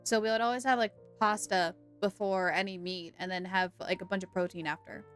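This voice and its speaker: A woman's voice: medium pitch, steady tone, fairly quick, faint music behind. A woman live streamer giving casual, chatty commentary on a video game, thinking aloud and repeating herself.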